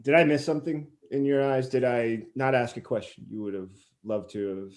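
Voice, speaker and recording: A man's voice: mid-range voice, steady tone, fairly quick, a little mellow. A man hosting a casual interview in a playful, courteous manner. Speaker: interview host.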